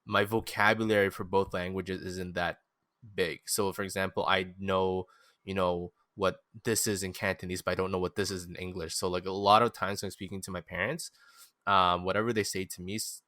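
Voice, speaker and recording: A young man hosting a casual podcast chat, thoughtful and curious. His voice sits very low but carries a bright, forceful tone, in a dry, close recording. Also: flat delivery, moderate pace, no room sound. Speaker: podcast host.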